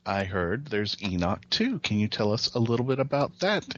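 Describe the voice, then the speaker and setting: A man's voice: lowish voice, sing-song, normal speed, fairly bright tone, no room sound. Male podcast co-host, slightly dull audio. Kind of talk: casual chat.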